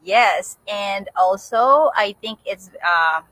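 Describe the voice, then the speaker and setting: A woman's voice: mid-range voice, lively intonation, slow pace, fairly bright tone, poor audio. A woman with a Filipino accent co-hosting a casual livestream in a chatty, agreeable way. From Philippines. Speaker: co-host of a livestream.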